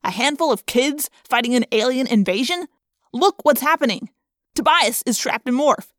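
A woman's voice: sits high, lively intonation, normal speed, fairly bright tone, dry recording, clean studio sound, voice pushed up. A woman voicing a jumpy, stressed, wisecracking teenage boy in a storybook, with a blunt, cynical manner. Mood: bleak.

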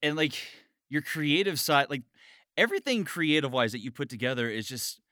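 A man's voice: a little high, expressive, fairly quick, pushed and bright, dry recording. A man hosting a podcast conversation in a casual, informal style. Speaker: host.

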